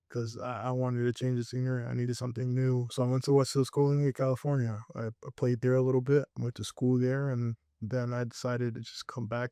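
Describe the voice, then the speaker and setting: A man's voice: medium pitch, warm tone, clean tone, dry recording, studio quality. A young man from Puerto Rico, raised in Connecticut, talking earnestly as a guest filmmaker in a casual interview, often restarting his sentences. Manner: earnest, reflective, with frequent restarts.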